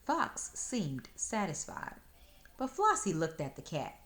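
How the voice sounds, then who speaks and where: A woman narrates a children's storybook in a fairly low voice. Her reading is slow and expressive, with plenty of rise and fall to carry the tale.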